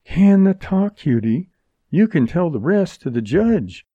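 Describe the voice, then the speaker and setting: Storybook role: male reader as brassy, wisecracking young woman, pitched well up, defiant and sneering. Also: very animated, unhurried, dark tone, husky, no room sound, highs cut off, exaggerated voice. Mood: mocking. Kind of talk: dramatized audiobook dialogue.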